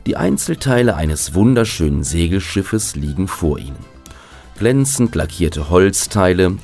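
A man's voice: low voice, darkish tone. A man narrating a scripted children's radio play as its host.

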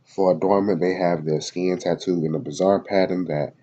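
A man's voice: sits low, fairly even intonation, moderate pace, warm tone, lo-fi sound. A man with a head cold reading web pages aloud in a casual video, with slangy asides and many fillers. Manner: loose slangy asides between read passages, many fillers. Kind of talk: casual screen-recorded reading and commentary. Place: at home in Florida.

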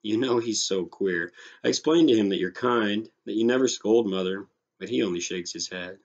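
A man's voice: lowish voice, normal speed, dull audio. A man voicing an innocent, anxious eight-year-old boy who pleads earnestly, in a storybook reading. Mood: naive, anxious.

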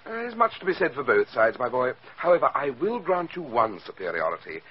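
An actor playing a genteel, scheming criminal mastermind posing as an art collector, suave and fussily courteous in 1940s radio style. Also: male voice, medium pitch, big pitch swings, breathy edge. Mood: genial. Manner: suave, fussy, mock-courteous, deliberate. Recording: dull, noisy 1940s radio recording.

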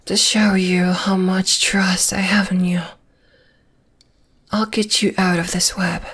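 A woman voicing a possessive, gently dominating spider monster girl in an ASMR roleplay, in a slow, deliberate, breathy whisper. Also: lowish voice, flat delivery, heavily acted. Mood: softly menacing. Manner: slow, deliberate, soothing yet menacing.

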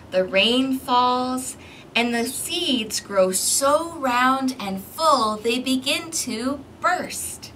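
Lady doing storytime for little kids, slow expressive reading, outside with some background noise. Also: on the high side. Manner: expressive, clearly paced, questioning as if to young children. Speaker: storytime host for a community service. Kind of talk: interactive children's picture book read-aloud. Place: outdoor balcony. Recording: distant mic with outdoor background noise.

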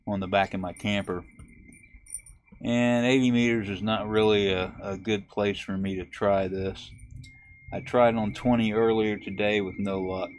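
A man with a low voice that barely rises or falls explains a radio logging setup step by step. He talks casually and haltingly, pausing on fillers as he clicks through menus. A faint hiss sits under his voice. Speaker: ham radio video host. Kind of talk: casual screen-recorded tutorial. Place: camper.

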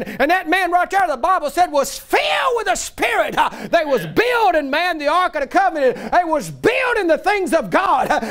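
High-pitched fervent male revivalist preacher. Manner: exhorting, emphatic, folksy, bursts into shouts. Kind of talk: fervent revivalist church sermon.

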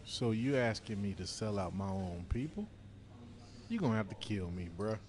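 A man's voice: on the low side, lively intonation, moderate pace, darkish tone, natural voice. A man playing a cornered fugitive informant in an audio drama, speaking in a bitter, curt, streetwise and profane way. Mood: bitter defiance. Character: defiant, cornered male fugitive turned reluctant informant. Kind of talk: scripted audio drama dialogue.

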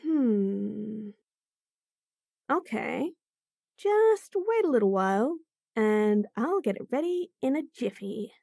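A woman's voice: on the high side, big pitch swings, slow pace, creaky, long pauses, dark tone, no room sound, studio quality. A woman voicing a bratty, sassy bunny-girl chef in a fantasy roleplay audio, teasing and talking straight to the listener. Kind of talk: F4M roleplay audio, acted monologue.

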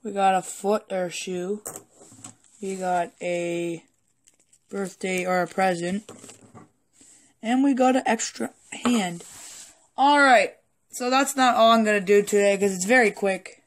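Hoarse woman rambling through a toy review. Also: medium pitch, measured pace, pauses often, a little hiss or hum. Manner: rambling and hesitant, casual swearing. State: hoarse, a little sick. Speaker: toy collector vlogger. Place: indoors at a wooden table in a home room.

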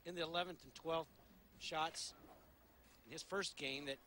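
A man giving relaxed, thoughtful color commentary on a bowling match, with fillers like "you know". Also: on the high side, a little slow. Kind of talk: bowling analysis. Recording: broadcast with crowd noise.

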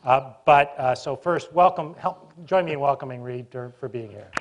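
A man's voice: medium pitch, moderate pace, dull audio, heavy background noise. A middle-aged man moderating a stage conversation in a university auditorium, chatty and wry, often restarting his sentences, on a lapel mic. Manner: chatty, self-interrupting, wry. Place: university auditorium with live audience.

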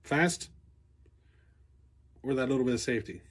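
A man's voice: medium pitch, moderate pace, breathy edge. A man in his forties, a chainsaw channel host, explaining things casually in a practical, plain-spoken way with frequent ums and you-knows, recorded on a close handheld camera in a cluttered workshop. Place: cluttered workshop garage, indoors.

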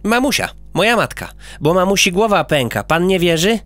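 A man voicing a cheeky, boastful little boy who prattles eagerly and mischievously in a high childlike voice. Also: big pitch swings, moderate pace, fairly bright tone, no room sound.

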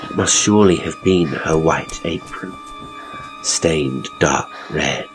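A man playing a pompous, theatrical ghost tour guide, telling gruesome tales with suspenseful, drawn-out phrasing in a horror audio story. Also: extremely low pitch, over music. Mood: suspenseful, grim.

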